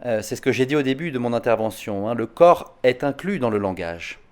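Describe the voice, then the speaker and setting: A man speaking as a psychanalyst at an online conference, explaining in a hesitant, halting way, often repeating words. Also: mid-range voice, expressive, clean tone. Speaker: psychoanalyst answering conference questions.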